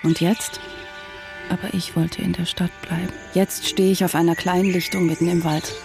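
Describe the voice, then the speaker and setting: Give a low female voice, a brooding wife in her late thirties. She delivers an inner monologue for a radio play in short, hushed, careful sentences, at times almost whispering, with music underneath. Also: little pitch movement, normal speed, creaky. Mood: bleak, hushed. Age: 30s. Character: brooding wife of a vanished tycoon, 37, mother. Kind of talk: literary radio drama monologue, first person.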